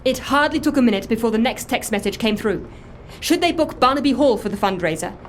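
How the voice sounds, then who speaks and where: Woman acting a fussy, anxious, high-voiced confessor. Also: expressive, a little fast, slight background noise, lightly acted. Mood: harried, defensive. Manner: fussy, emphatic, defensive storytelling. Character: anxious, self-justifying woman confessing impersonation, earnest dog lover. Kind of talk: audio drama dialogue, first-person confession.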